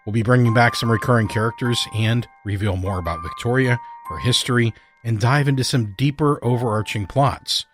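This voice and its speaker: A man's voice: mid-range voice, a little fast. Male podcast host over music. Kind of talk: scripted show introduction.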